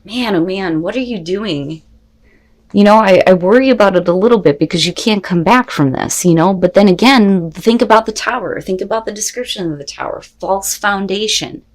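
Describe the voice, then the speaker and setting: A woman hosting an unscripted tarot reading, talking straight to viewers in an expressive, conversational way with rhetorical questions and salty language. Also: medium pitch, normal speed. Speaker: tarot reader and host.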